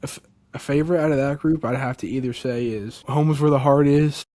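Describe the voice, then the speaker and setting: A young man from Delaware, a podcast guest, telling a story casually and excitedly, with lots of "like" and "dude". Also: mid-range voice, fairly quick. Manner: animated, many fillers like "like" and "dude".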